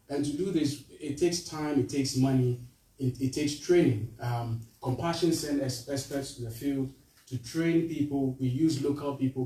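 A man from Ghana with a Ghanaian accent, giving an earnest charity appeal to a church, halting with fillers and restarts. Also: medium pitch, warm tone. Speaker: charity representative speaking to a church. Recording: roomy echo, voice from far off.

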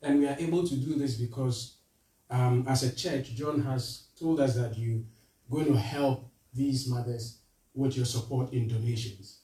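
A man from Ghana with a Ghanaian accent, giving an earnest charity appeal to a church, halting with fillers and restarts. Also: medium pitch, fairly even intonation, dark tone. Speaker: charity representative speaking to a church. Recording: roomy echo, voice from far off.

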